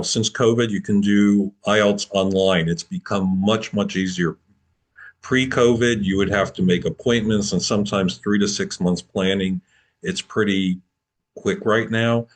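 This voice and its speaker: A man answering applicants' questions at an online open house, relaxed and explanatory, over a video call. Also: lowish voice. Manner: relaxed, explanatory, with repeats and restarts. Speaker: program admissions advisor.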